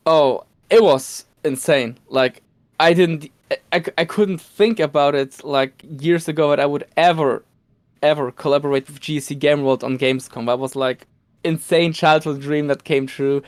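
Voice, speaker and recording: Smooth-voiced man telling excited stories. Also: fairly high pitch, moderate pace, no room sound. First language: Polish. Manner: excited storytelling with laughs and restarts. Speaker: podcast guest, cosplayer. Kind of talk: casual podcast chat.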